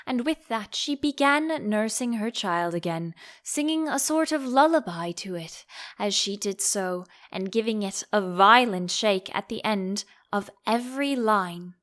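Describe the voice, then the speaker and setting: A woman narrating a storybook as an audiobook reader, in an expressive style. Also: mid-range voice, measured pace.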